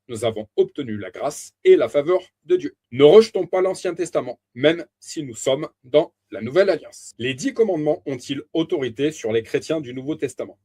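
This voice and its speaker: A man reading a Bible teaching aloud as a preacher, in a measured, deliberate way with emphatic stresses. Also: a little high, darkish tone.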